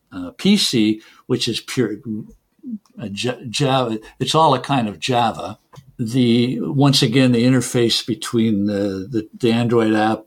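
Hesitant middle-aged Canadian-raised man. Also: medium pitch. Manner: hesitant, repeats words, chuckles between points. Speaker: software developer guest. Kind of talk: casual interview answers.

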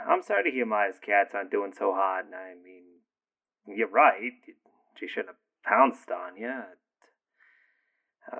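Man playing a rambling, swearing boyfriend; gravelly voice, tinny phone sound. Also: mid-range voice, full character voice. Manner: profane, rambling, laughing and exasperated. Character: crude, easygoing boyfriend ranting to a friend. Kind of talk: audio drama acting.

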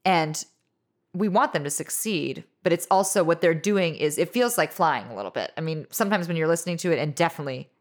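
A woman in her thirties, a musician being interviewed, talking in a loose, rambling way with many fillers like "you know" and "like"; she has a low voice. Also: expressive, fairly quick. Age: 30s. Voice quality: low singing voice. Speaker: musician guest. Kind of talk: casual interview chat.

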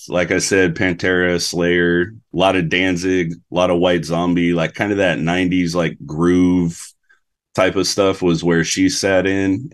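A young adult man from Alaska, a metal guitarist chatting as a podcast guest in a relaxed, rambling way. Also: deep voice. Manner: laid-back, rambling, many "like"s. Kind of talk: casual interview chat.

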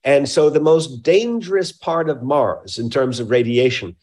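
A man with a mid-pitched voice speaks as an expert guest in a science interview. He explains things in technical terms, choosing precise words and stressing key points. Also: animated, measured pace, darkish tone.

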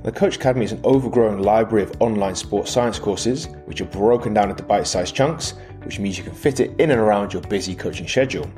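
Fast-talking male podcast host. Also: on the low side, darkish tone, soft background music. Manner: upbeat, read from a script. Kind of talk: scripted outro and promo.

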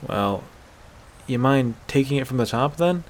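A man's voice: mid-range voice, expressive, a little slow, close to an everyday voice. A man voicing a stubborn, sarcastic young hunter in a dramatic fantasy story, blunt and incredulous in his challenges. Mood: challenging, sarcastic. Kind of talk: dramatic fantasy audiobook character voice.

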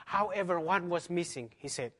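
A man's voice: high voice, moderate pace, a bit bright. A man pastor from Ethiopia with an Ethiopian accent, giving an emphatic personal testimony as a conference talk in non-native English.

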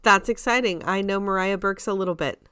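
A woman's voice: medium pitch, fairly quick, bright, forceful tone, clear, resonant tone, dry recording, clean studio sound. A woman co-hosting a podcast in casual, conversational talk. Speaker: podcast co-host. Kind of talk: casual chat.

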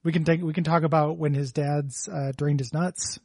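A man co-hosting a comedy chat in a dry, hesitant, deadpan way. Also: on the high side, normal speed, no room sound. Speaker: podcast co-host.

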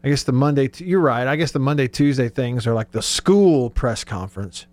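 A man's voice: medium pitch, lively intonation, fairly quick, a little mellow, dry recording. A man hosting sports talk radio in a casual, conversational, informal way.

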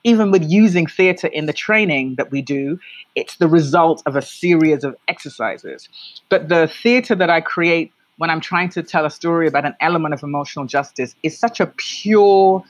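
A woman with a London British accent telling stories as an interview guest, in an expressive, emphatic conversational way. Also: low voice, no room sound. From London, England. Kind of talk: reflective conversational storytelling.

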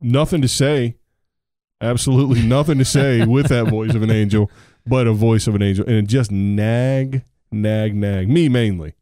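A man's voice: medium pitch, fairly even intonation, moderate pace, dark tone, no echo, clean studio sound. A middle-aged American man co-hosting a podcast in casual chat, joking, sarcastic and rambling. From United States. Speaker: podcast co-host.

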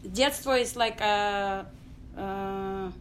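A middle-aged woman from Ukraine with a Ukrainian accent, telling her story as an interview guest in halting English full of fillers. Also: medium pitch, slight background noise. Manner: halting non-native English with many fillers. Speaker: guest, dance company founder.

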